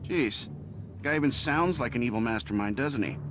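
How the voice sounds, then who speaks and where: Mid-pitched, sarcastic male actor as a cocky genius hero. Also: animated, brisk, noisy background, close to an everyday voice. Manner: sarcastic quips. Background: game score.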